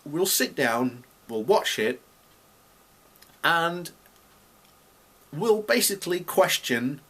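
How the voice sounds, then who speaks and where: A man's voice: fairly high pitch, very animated, takes it slowly, pushed and bright, breathy edge. A man giving unscripted, opinionated commentary as a video blogger, speaking emphatically and indignantly and repeating himself to drive points home.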